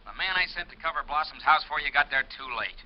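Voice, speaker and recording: A man playing a gruff, matter-of-fact police sergeant in a 1950s radio drama, blunt and informal. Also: fairly high pitch, animated, fast, lightly acted. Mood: urgent. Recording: muffled, hissy vintage radio recording.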